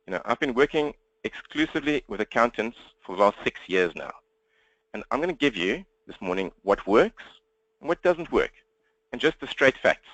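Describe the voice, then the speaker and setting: A man's voice: mid-range voice, lively intonation, fairly bright tone, no room sound, poor audio. A man with a South African accent giving a live webinar training from his laptop, upbeat and direct. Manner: fast-talking, upbeat and direct. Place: at home desk speaking to laptop.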